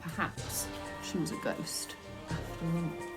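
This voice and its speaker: A woman reading a children's book aloud in an expressive storytelling style. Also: deep voice, slow pace, music in the background. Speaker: storybook reader.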